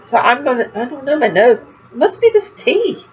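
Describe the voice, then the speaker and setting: A woman's voice: high-pitched, big pitch swings, moderate pace. An older woman chatting at home about her crochet projects, rambling and easygoing, with a stuffed-up nose and a small cough. The sound is a bit dull, with a faint hum of the room behind her. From Florida. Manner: chatty, rambling, laughs at herself. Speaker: crochet vlogger. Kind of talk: casual chat to camera.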